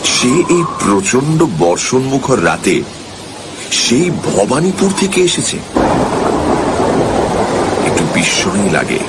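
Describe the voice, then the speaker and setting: A man's voice: medium pitch, sing-song, takes it slowly, pauses often, heavy background noise. A man narrating a ghost story in the first person, in a suspenseful, theatrical manner. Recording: loud music under the voice. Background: loud music.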